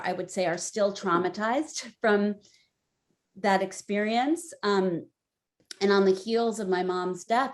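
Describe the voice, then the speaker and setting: A woman in her forties on a webinar panel, telling a personal story in a candid, conversational way that turns lively when she quotes herself. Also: mid-range voice. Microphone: video call.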